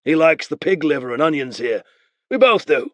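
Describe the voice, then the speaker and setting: A man voicing a gruff, wily warrior who brushes off a boy's claims. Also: on the high side, sing-song, normal speed, no room sound, voice pushed up. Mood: dismissive. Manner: dry, dismissive. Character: gruff, wily male axe-carrying warrior.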